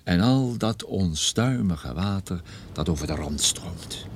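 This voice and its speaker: A man's voice: deep voice, lively intonation, slow pace, background noise. An actor playing a wry, self-deprecating private detective who narrates his own story in a radio drama.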